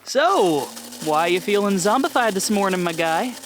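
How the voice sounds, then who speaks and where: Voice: medium pitch, animated, moderate pace, slight background noise, natural voice. An actor playing a friendly, teasing coffee shop barista in a comedy audio drama, talking in a casual, folksy way. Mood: teasing, chummy.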